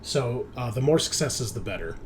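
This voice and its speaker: A man's voice: medium pitch, animated, moderate pace, a little hiss or hum. A man narrating a tabletop game as game master, conversational and thinking aloud as he goes.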